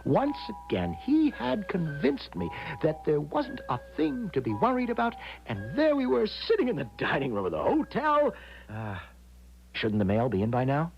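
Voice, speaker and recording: A man's voice: a little high, wildly swooping pitch, moderate pace, gravelly, dull audio, faint music behind, full character voice. An actor playing an anxious, gullible young man in a 1970s radio drama, hesitant, stammering and melodramatically self-pitying. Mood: uneasy narrating. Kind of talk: 1970s radio drama acting with first-person narration.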